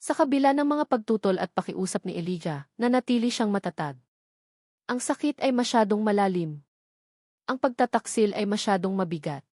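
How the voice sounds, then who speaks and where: A woman's voice: medium pitch, a little mellow, no echo, studio quality. A woman reading scripted dramatic narration of a love story, voicing the dialogue as part of the telling. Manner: reads characters' dialogue within the narration.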